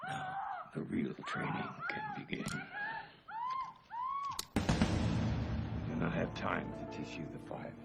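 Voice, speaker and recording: Gravelly, slow martial-arts master. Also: male voice, low-pitched, wildly swooping pitch, loud music behind the voice, full character voice. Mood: solemn, commanding. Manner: imperious, drawn-out, solemn. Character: stern, cryptic male martial-arts master.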